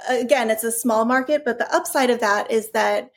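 A woman's voice: on the high side, normal speed. A woman from California who grew up in Hawaii, talking casually and at length as an interview guest, with many fillers and restarts. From California, raised in Hawaii. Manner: chatty, rambling, frequent restarts and fillers. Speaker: toy company founder, guest.

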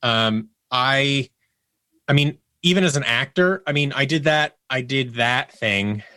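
A man's voice: mid-range voice, a little slow, sharp tone, no room sound. A man speaking casually as an interview guest, telling stories and acting out bits of remembered dialogue. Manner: acts out remembered dialogue in anecdotes. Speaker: podcast guest, audio producer and actor.

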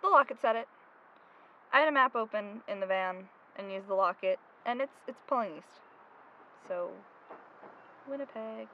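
Female actor as stubborn, driven young woman, high voice, insistent delivery, mystery audio drama, noisy recording. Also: expressive, moderate pace, pauses often, dull audio, lightly acted. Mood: brisk, determined. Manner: insistent, urgent. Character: driven, stubborn, grieving young woman hunting her missing friend.

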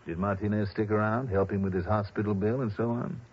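A muffled old radio drama recording of a worried insurance man. His voice is very deep and rough with husk. He speaks in a grave, courteous, deliberate way. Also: fairly even intonation. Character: earnest, worried Southern insurance office man. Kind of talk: 1960s radio drama acting.

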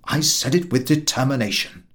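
A man narrating a humorous story as an audiobook reader, in an expressive scripted style. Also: mid-range voice, moderate pace.

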